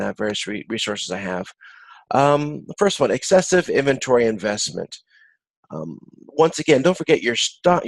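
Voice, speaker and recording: A man giving an informal online lecture as an instructor, explaining in a halting way and often rephrasing himself. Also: mid-range voice, no echo, low-quality recording. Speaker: course instructor. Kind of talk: informal recorded video-conference lecture with slides.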